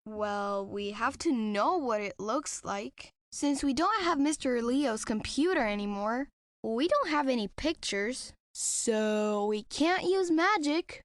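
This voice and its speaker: Lady doing a sweet little kid, really high voice, polite, dry sound with faint music. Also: animated, slow pace, dry recording. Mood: helpful. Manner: polite, childlike. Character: sweet, polite, eager little girl with magic powers.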